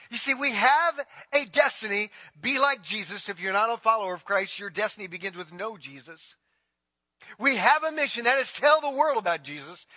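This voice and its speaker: A man's voice: extremely high pitch, sharp tone, no echo. A man preaching a sermon, emphatic and repetitive as he drives each point home. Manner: emphatic preaching, repeating phrases. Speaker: pastor.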